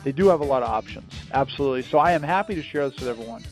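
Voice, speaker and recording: A man giving an emphatic, salesy pitch as a company founder on a promotional call, repeating phrases for effect. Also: lively intonation, rapid-fire, faint music behind. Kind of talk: promotional interview on a conference call.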